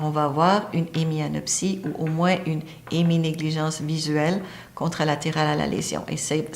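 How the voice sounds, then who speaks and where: A woman lecturing on a medical topic, explaining step by step and pointing to a diagram. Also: deep voice. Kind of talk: teaching lecture.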